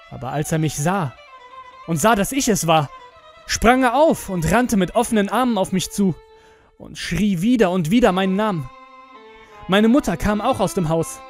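Higher-voiced man narrating horror over music. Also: a little mellow. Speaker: narrator of horror audio stories. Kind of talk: dramatic scripted horror story narration. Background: music with strings.

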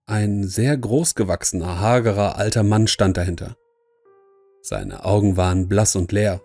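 Male narrator for creepy audio story: deep-leaning, soft dark tone, scripted first-person telling over quiet music. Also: expressive, a little slow, no room sound.

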